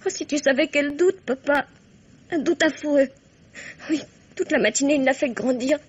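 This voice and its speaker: Actress as distraught daughter, twenty, very high voice, breathless, melodramatic radio-play delivery, muffled vintage sound. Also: female voice, normal speed, close to an everyday voice. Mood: anxious, distraught. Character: anguished, headstrong twenty-year-old daughter accusing her elders of poisoning. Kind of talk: 1950s French radio mystery acting. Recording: dull vintage radio drama recording.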